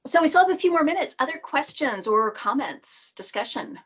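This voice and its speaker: A woman's voice: high voice, big pitch swings, a little fast, a bit bright. A middle-aged woman casually moderating an online group call and putting questions to a guest. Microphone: video call.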